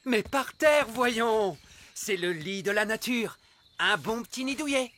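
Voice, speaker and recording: A man's voice: high voice, expressive, a little slow, pushed and bright, full character voice. A man voicing an enthusiastic, bumbling elf scout leader in a French cartoon dub, hearty, emphatic and instructive.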